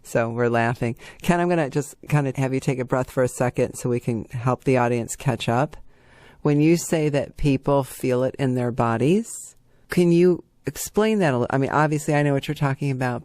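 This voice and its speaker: Male interview host, mid-pitched, conversational and explanatory, very dry close sound. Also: fairly quick, darkish tone, no echo. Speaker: show host.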